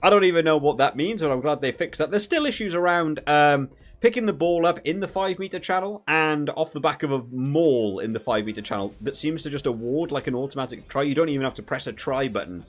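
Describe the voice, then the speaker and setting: A man's voice: a little high, brisk. A man hosting a video game review with casual, rambling, conversational commentary.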